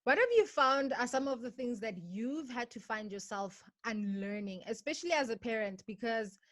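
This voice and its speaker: A young woman hosting an online book discussion over a video call, asking long, measured questions and keeping track of the time. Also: fairly high pitch, expressive, a bit bright, no echo, studio quality. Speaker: interview host.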